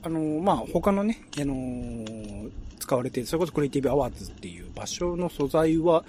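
A man hosting a relaxed chat, talking politely in a hesitant, roundabout way. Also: on the high side, a little fast, a little hiss or hum. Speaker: host.

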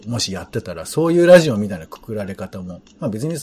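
A man's voice: fairly low pitch, very animated, quick pace, warm tone. A man co-hosting a radio show in casual, reflective chat, a little self-deprecating.

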